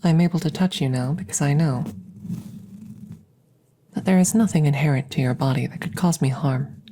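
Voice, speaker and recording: A woman playing a calm, curious alien scientist in an ASMR roleplay, speaking softly and close up in measured, formal, clinical phrases. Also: sits low, slight background noise, natural voice. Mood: measured, explanatory. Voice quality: soft, breathy close whispering. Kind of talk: whispered ASMR audio roleplay. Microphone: close-up microphone.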